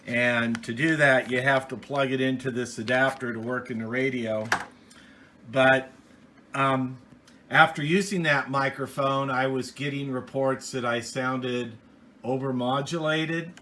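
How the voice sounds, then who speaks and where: Casting: American man for an unscripted hobby review, clear-edged bright tone, middling pitch, plain and rambling, some noise in a home office. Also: steady tone, measured pace. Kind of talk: casual unscripted product review. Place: small indoor home office radio room.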